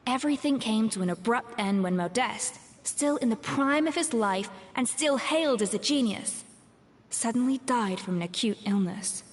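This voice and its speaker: A woman's voice: a little high, expressive, natural voice. Woman acting a pensive young chef, long reverb. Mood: somber, reflective. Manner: measured, articulate, pensive storytelling. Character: troubled former head chef, proud young woman, reflective. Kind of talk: scripted video game voice acting. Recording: long reverb, music behind. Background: game music.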